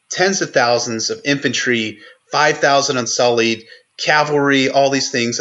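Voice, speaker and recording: A man with a bright, forceful voice analyses fiction in a conversational way. He is earnest and thinks aloud, pausing and restarting as he builds a point. The audio is a little dull and lo-fi. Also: medium pitch. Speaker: guest co-host on a book podcast.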